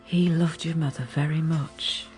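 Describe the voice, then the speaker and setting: An actress playing a sensible, anxious middle-aged housekeeper who tells a gothic tale in a radio drama, grave and measured. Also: female voice, low voice, little pitch movement, darkish tone, faint music behind. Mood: fond, wistful. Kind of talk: radio drama narration and dialogue.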